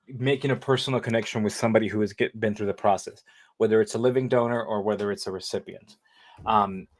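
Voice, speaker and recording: Rambling man in his thirties. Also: on the low side. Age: 30s. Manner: rambling, lots of fillers and restarts. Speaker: interview guest, living kidney donor. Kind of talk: casual conversational interview.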